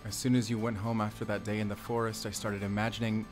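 Music plays behind a fairly low male voice. It is a man acting a tender, vulnerable forest-dwelling father in an intimate audio roleplay. He confesses his love haltingly and softly hesitant. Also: flat delivery, natural voice. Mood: wistful.

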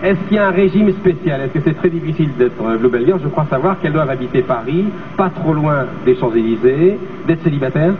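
A man's voice: on the high side, animated, distant mic. A middle-aged man hosting a TV variety show, interviewing a guest with a handheld mic on a studio stage and echoing back her answers. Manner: brisk, repeats the guest's answers. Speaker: TV host. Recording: tinny, noisy old TV broadcast, off-mic.

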